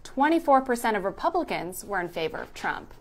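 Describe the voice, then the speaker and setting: Give a woman narrator with a medium-pitched voice, reading from a script. She recaps events in the manner of a news explainer. The delivery is that of prepared narration. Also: sing-song, brisk, a little hiss or hum.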